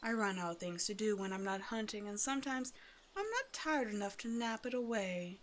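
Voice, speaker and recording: A woman's voice: mid-range voice, lively intonation, normal speed, natural voice. Teasing predatory naga woman acting over background noise. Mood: bored, musing. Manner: teasing, condescending, casual conversational menace. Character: teasing predatory female naga, bored, self-sufficient, menacing. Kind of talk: ASMR roleplay, one-sided scripted dialogue to the listener.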